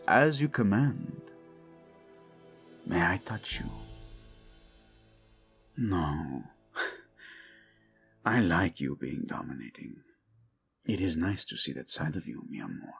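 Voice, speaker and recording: A man's voice: low voice, expressive, takes it slowly, creaky, pauses often, soft background music, exaggerated voice. A man acting as a teasing, devoted king in an intimate romantic audio roleplay, playful and tender with his wife. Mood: flirtatious, submissive.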